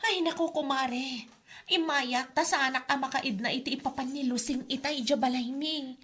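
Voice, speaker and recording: A woman's voice: high-pitched, moderate pace, a bit bright, breathy edge. A woman playing a put-upon wife in debt, defensive and complaining, in a comedy radio drama.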